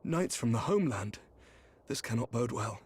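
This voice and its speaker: An actor playing a dignified, wary, courtly knight commander in a fantasy video game. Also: male voice, mid-range voice, expressive, moderate pace, darkish tone, a little hiss or hum, natural voice. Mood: grave, courtly. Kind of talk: dramatic video game acting.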